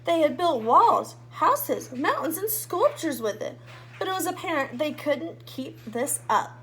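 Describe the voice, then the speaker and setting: A woman with a very high voice reads a storybook aloud for children. She hosts the read-aloud expressively and speaks directly to her young audience, as a storyteller would. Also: sing-song, measured pace, fairly bright tone. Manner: addresses young listeners directly with questions.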